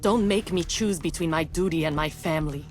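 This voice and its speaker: An actress playing a protective, defiant elder sister who is an operative, in a video game cutscene. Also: female voice, low voice, a little fast, soft background music, close to an everyday voice. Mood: apologetic, firm.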